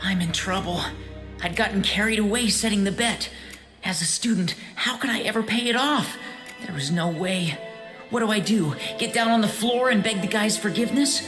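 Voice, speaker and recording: A woman playing a proud but easily flustered teenage student waiter in an anime audio drama, earnest and expressive. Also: mid-range voice, normal speed, lightly acted. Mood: worried. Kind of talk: anime audio drama dub with inner monologue. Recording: studio voice over clear background music.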